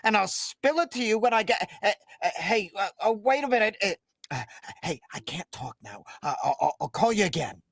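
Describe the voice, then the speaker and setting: A man's voice: sits high, animated, moderate pace, creaky, fairly bright tone, exaggerated voice. A man playing a shifty, nervous informant in a 1930s-style radio detective drama, stammering through slangy gangster talk. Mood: alarmed, evasive. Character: shifty, nervous male informant peddling a murder secret by phone.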